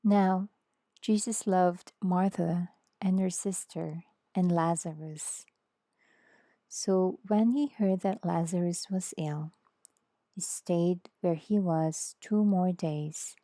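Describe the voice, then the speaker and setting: A woman narrating scripture as an audiobook, with a measured, reverent delivery. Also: on the low side, fairly even intonation, warm tone, dry recording.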